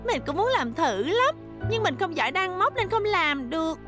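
Earnest little girl, cartoon dub, very high voice, music behind. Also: sing-song, normal speed. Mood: earnest, helpful. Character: sweet, earnest, admiring little schoolgirl. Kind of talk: cartoon dubbing.

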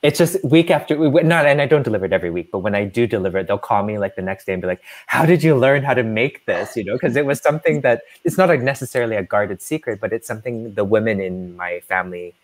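A young man on a video call telling a relaxed, rambling story. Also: lowish voice, quick pace, few pauses. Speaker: artist, collective member. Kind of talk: casual panel chat on video call.

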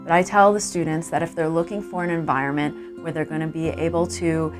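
A woman's voice: deep voice, steady tone, fairly quick. A woman speaking as a university admissions representative in a promotional interview testimonial, with music behind her. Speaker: university admissions staff member.

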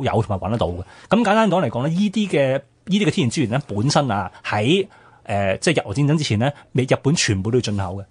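A male radio co-host with a Hong Kong Cantonese accent explains history in a chatty, informal way. He talks quickly with lively pitch swings and a slightly husky voice. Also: no room sound.

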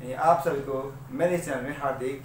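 A man's voice: mid-range voice, moderate pace. An Indian man hosting a casual tech tutorial, talking to viewers in a repetitive, pleading way. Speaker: tech tutorial channel host. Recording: noisy amateur recording with background ambience.

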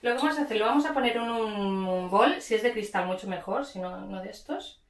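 A woman's voice: mid-range voice, lively intonation, brisk. A woman, explaining a recipe step by step as she cooks at home, picked up by a camera mic across the kitchen. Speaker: cooking show host. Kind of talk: casual cooking demonstration. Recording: echoey kitchen with clattering noise.

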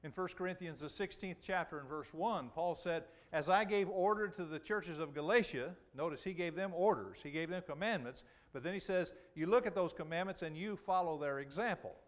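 Higher-pitched preacher giving a sermon. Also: male voice, fairly quick, hardly any pauses. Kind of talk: sermon, expository Bible teaching. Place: church auditorium, speaking from the pulpit to a congregation.

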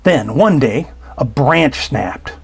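A man reading a children's picture book aloud, slow and expressive, pausing to point out details in the pictures. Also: mid-range voice, vocal fry, husky. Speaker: storybook reader. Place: indoors at a table.

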